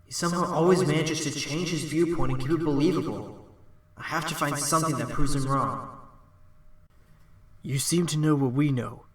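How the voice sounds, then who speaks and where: A man's voice: a little high, moderate pace, long pauses, slightly rough. A man playing a determined, wry fox defense lawyer in a courtroom audio drama, speaking earnestly. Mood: thoughtful.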